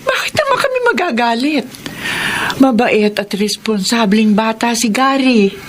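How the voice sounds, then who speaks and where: A woman's voice: a little high, animated, measured pace, a little hiss or hum. An actress playing a kindly, encouraging middle-aged mother, reassuring in manner, in a Filipino radio drama. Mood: fond, teasing.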